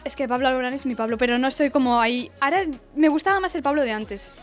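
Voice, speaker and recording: Young co-host, high-voiced, giggly and rambling, faint music under her. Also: fast talker. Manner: giggly, rambling, self-mocking. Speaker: podcast co-host. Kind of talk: casual chat.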